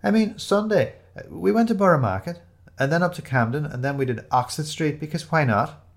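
A man's voice: on the high side, expressive, brisk, vocal fry, voice pushed up. A man voicing a chatty, bossy young woman who gushes in breezy, emphatic run-on chatter for an audio storybook. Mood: breezy, enthusiastic. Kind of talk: audio storybook character dialogue.

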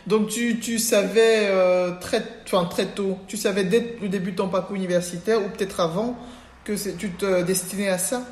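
A woman's voice: medium pitch, fairly even intonation, normal speed, a little mellow. A woman hosting a casual interview, speaking in an informal, encouraging, conversational way. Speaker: interviewer, host. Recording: echoey room.

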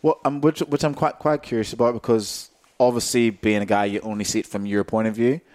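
A young man with a slightly low voice, hosting a casual podcast chat. He rambles, restarts his sentences and keeps things joking and loose. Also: fairly even intonation, fairly quick, a little mellow. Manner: rambling, self-interrupting, joking. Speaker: podcast host.

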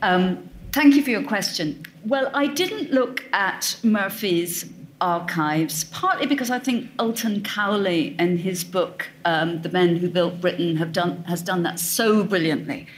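A woman's voice: on the low side, a little slow. A woman author answering audience questions at a live talk, thoughtful and wry, often correcting herself as she goes. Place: event hall with audience.

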